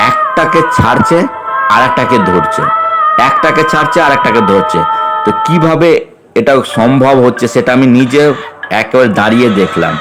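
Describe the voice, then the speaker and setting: A man narrating video footage as an eyewitness host, informally and emphatically, often repeating his phrases. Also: mid-range voice. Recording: loud music under the voice. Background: loud music.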